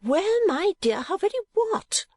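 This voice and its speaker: A woman's voice: medium pitch, huge pitch swings, normal speed, breathy edge, no echo, voice pushed up, exaggerated voice. A woman voicing a garrulous, gushing, easily affronted middle-aged mother in an audiobook, emphatic and exclamatory. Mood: emphatic, argumentative. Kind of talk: audiobook character acting.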